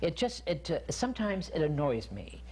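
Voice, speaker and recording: A man's voice: a little high, sing-song, moderate pace, darkish tone, husky. A man in his sixties hosting a chatty interview, gushing, interjecting and repeating himself. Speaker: cable TV talk show host. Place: guest's home, TV interview. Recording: noisy, humming 1990s cable TV audio.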